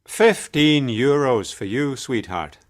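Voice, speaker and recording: An actor playing a friendly, cheerful taxi driver in an audiobook story. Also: male voice, mid-range voice, animated, slow. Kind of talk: audiobook dialogue acting.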